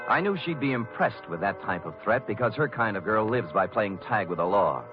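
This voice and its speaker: A man's voice: lowish voice, animated, fairly quick, close to an everyday voice. An American man playing a tough, wisecracking private detective in an old-time radio noir drama, speaking in a wry, clipped manner. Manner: hard-boiled, wry, clipped. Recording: dull vintage radio recording with music.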